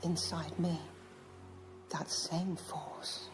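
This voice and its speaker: A woman's voice: lowish voice, flat delivery, drawn-out words, background noise. A woman playing a young Jedi pupil in an audio drama, speaking in sparse, searching, trance-like phrases. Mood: hushed awe.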